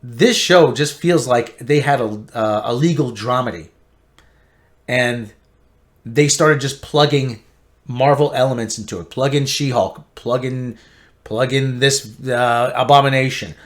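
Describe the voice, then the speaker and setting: A man giving an opinionated, emphatic TV review rant, repeating himself and swinging between loud outbursts and low grumbling. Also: unhurried. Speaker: online pop-culture commentator.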